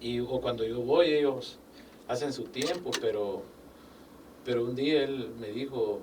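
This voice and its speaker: A man's voice: mid-range voice, a little slow. Older man, chatty storyteller. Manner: animated, acts out quoted dialogue, religious phrasing. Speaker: guest, business owner. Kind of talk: casual interview storytelling. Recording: distant mic with faint background noise.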